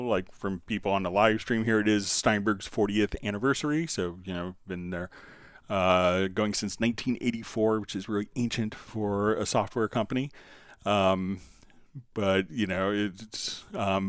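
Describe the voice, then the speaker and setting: A man hosting a casual, easygoing software tutorial, explaining steps and answering viewer questions. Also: fairly low pitch, clear, resonant tone, no room sound, studio quality. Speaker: live stream host, software instructor.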